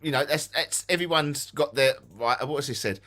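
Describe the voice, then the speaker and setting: A man's voice: a little high, normal speed, bright, forceful tone. A man with an Essex English accent co-hosting a ghost hunting live stream, chatting casually and repeating himself for emphasis.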